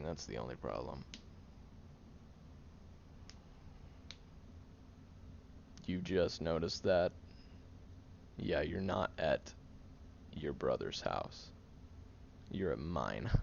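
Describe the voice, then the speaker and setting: A man's voice: extremely low pitch, muffled recording, a little hiss or hum, full character voice. A man playing a prickly, defensive young man who is sarcastic but secretly caring, in an audio roleplay spoken to the listener. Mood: grudging, dry. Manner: gruff, sarcastic, flustered tsundere bickering.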